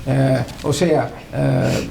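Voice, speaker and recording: A man interviewing someone for an oral history, asking hesitant, deliberate questions. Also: mid-range voice, little pitch movement, creaky, mellow. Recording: echoey room with background noise.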